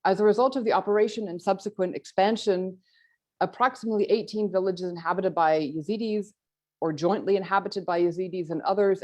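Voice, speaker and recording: A woman's voice: medium pitch, a little mellow. Earnest woman presenting policy research. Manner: earnest, repeats words when restarting. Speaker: policy researcher giving remarks.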